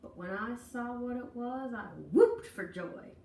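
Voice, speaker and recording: A woman's voice: fairly high pitch, unhurried, darkish tone. Woman, dramatic storybook read-aloud, folksy delivery, distant mic, roomy echo with faint noise. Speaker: storybook reader. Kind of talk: expressive read-aloud of a children's picture book.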